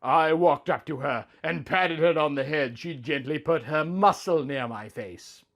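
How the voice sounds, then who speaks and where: A man's voice: on the high side, a little slow, creaky, a bit bright. A man voicing a lovestruck young woman who narrates her honeymoon as a swooning, melodramatic romance story. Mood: dreamy, contented. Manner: swooning, overwrought. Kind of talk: melodramatic romantic fan fiction reading.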